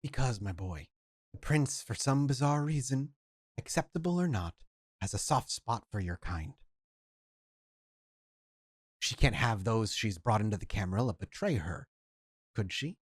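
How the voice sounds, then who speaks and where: A storyteller voicing a condescending, genteel male vampire elder who speaks softly and patronizingly. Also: mid-range voice, expressive, unhurried, pauses often, husky, no echo, studio quality. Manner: patronizing, soft-spoken.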